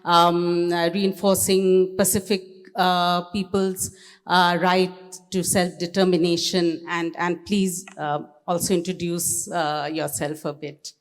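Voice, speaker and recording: A woman's voice: lowish voice, level tone, slow pace. A woman moderating a conference panel, speaking hesitantly with many fillers. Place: conference hall. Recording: reverberant conference hall.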